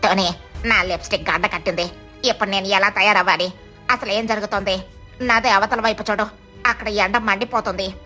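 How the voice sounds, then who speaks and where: A woman's voice: mid-range voice, steady tone, fairly quick, long pauses, bright, forceful tone, faint music behind, lightly acted. A woman voicing a vain, glamour-obsessed crow in a cartoon story, fretful and melodramatic. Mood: dismayed.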